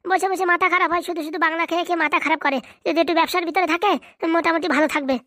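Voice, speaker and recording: A woman's voice: very high-pitched, steady tone, rapid-fire, a bit bright, dry recording, clean studio sound. A woman voicing a bossy young wife in a comedy cartoon, scolding and pleading like a devoted daughter. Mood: nagging. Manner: scolding, nagging.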